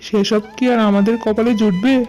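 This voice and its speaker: A woman's voice: mid-range voice, steady tone, brisk, close to an everyday voice, poor audio. A woman voicing a worried, hardworking male vegetable seller and family man in a cartoon, plaintive and earnest. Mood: affectionate, resigned. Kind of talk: cartoon dialogue acting. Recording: music bed behind voice.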